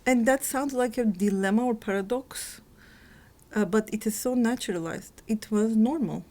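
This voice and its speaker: A woman's voice: a little high, moderate pace, a little mellow. A woman historian in her forties from Turkey, speaking earnestly and reflectively in a documentary interview.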